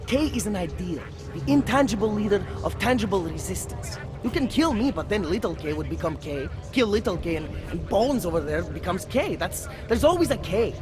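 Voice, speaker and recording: An actor playing a paranoid, defiant underground journalist in a video game, a fervent idealist who rambles and stammers in slangy conspiracy rants. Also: male voice, high-pitched, very animated, a little fast, few pauses, music in the background. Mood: earnest, conspiratorial.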